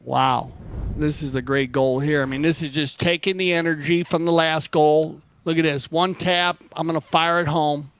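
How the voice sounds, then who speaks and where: A man's voice: on the high side, fairly even intonation, moderate pace, fairly bright tone, clear, resonant tone, no echo. A man co-commentating live on a polo match in an exclamatory way.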